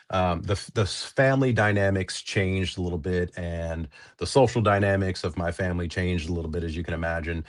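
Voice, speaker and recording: A low-voiced man speaks as an interview guest, sniffly from a lingering cold. He talks earnestly and haltingly, with lots of fillers, and the sound is dry and close. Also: no echo. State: getting over a cold, sniffly. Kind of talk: conversational interview, personal story.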